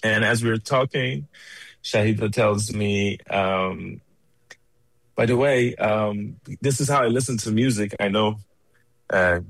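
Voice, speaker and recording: Low-voiced man from Iran telling stories. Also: a bit bright, no room sound, poor audio. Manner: unhurried, pausing between phrases. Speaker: interview guest, studio engineer. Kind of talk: conversational storytelling.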